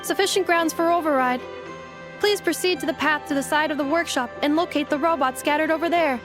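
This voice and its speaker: A woman plays a calm robot assistant in a game. The voice is high and polite, delivering clipped, formal statements with machine-like precision over background music. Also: brisk, natural voice. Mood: formal, measured. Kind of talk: video game voice acting. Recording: music behind the voice.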